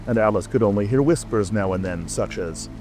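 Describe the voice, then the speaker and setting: A man's voice: on the low side, a little fast, rough recording. A man narrating a scripted storybook as an audiobook reader. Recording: music and rain ambience behind. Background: music, rain.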